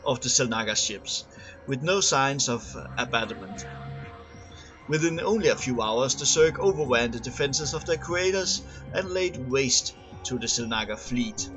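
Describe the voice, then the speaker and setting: A man's voice: medium pitch, dull audio. A man reading scripted lore aloud as an amateur narrator, sometimes stumbling and repeating words. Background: music under the voice.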